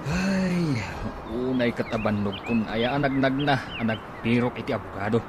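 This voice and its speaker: A man's voice: mid-range voice, expressive, normal speed, over music, close to an everyday voice. A man playing a fussy, grumbling husband in a radio comedy drama.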